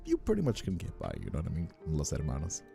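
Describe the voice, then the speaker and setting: A man with a deep, very mellow voice and a gravelly edge chats casually on a livestream. His language is Portuguese, and his rambling, teasing delivery moves up and down freely over faint music. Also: huge pitch swings, fast talker. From Brazil. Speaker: streamer and ASMR voice actor.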